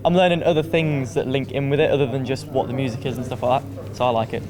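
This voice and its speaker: A man's voice: medium pitch, fast talker, over music. A young man, a college student, chatting casually in an interview. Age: young adult. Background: crowd chatter.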